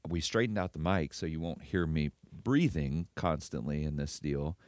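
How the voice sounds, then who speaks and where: Male co-host, stuffy nasal allergy voice, very deep, rambling joker. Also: normal speed, a little mellow, no room sound, studio quality. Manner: rambling, jokey storyteller. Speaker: podcast co-host. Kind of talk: casual chat.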